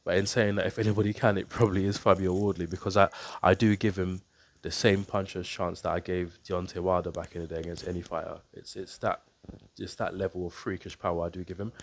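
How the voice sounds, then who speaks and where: A man with a blocked-up nose from a cold, giving a casual boxing breakdown to camera as a coach and YouTuber, thinking aloud with ums and restarts. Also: low-pitched. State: congested with a cold.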